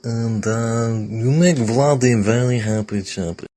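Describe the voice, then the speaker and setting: A man voicing an awkward, earnest comic oddball with a gravelly voice, halting and groping for words in an improvised character sample. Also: medium pitch, mellow, natural voice.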